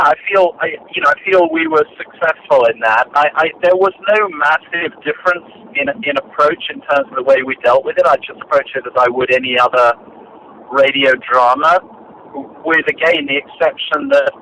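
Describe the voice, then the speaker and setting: An older man, a director answering interview questions in a measured, hesitant way with many fillers and restarts. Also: on the high side, pushed and bright. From Britain. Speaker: film and radio drama director, guest. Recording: dull lo-fi archive recording, faint noise.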